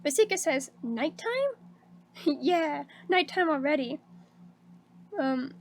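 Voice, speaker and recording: A bubbly woman streamer with a high voice chats casually into a desk mic, laughing a lot and filling pauses with "um" and "like." Her voice sounds a little hoarse, with a faint hiss of background noise behind her. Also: expressive. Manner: bubbly and chatty, laughs often, many fillers like "um" and "like". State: slightly hoarse. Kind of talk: casual stream chat reading out viewer messages.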